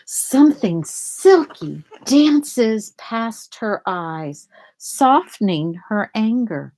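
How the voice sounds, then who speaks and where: A woman reading a children's picture book aloud in a deliberate way, pausing to explain things to young listeners. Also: on the high side, a little mellow. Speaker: storybook reader.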